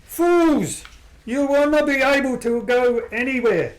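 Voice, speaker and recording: A man playing a menacing high-voiced crime boss. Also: expressive, unhurried. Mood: furious. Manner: threatening, then shocked and raging. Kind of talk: amateur radio play acting.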